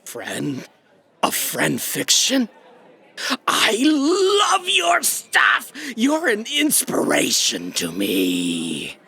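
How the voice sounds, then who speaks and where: A gravelly, pompous would-be villain. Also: male voice, high voice, huge pitch swings, extremely slow, sharp tone, full character voice. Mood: starstruck. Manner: pompous, melodramatic, sneering. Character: invisible busboy, self-important aggrieved would-be villain writer. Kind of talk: audio sci-fi comedy villain acting.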